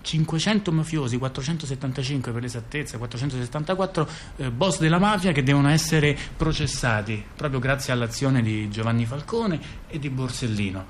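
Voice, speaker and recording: Male radio-theatre storyteller, middle pitch, stammering restarts, conversational tag questions, some background noise. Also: a little fast, few pauses. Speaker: monologue performer in a two-person radio theatre dialogue. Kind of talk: improvised-sounding theatrical storytelling.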